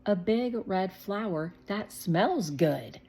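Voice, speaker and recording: A woman's voice: medium pitch, lively intonation, slow pace, warm tone, husky, full character voice. A woman voicing an earnest, reserved young boy in a storybook, matter-of-fact and a little guarded.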